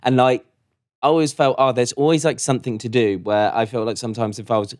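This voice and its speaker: A young man co-hosting a podcast, relaxed and easygoing as he tells stories in casual chat. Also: medium pitch, fast. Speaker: podcast co-host.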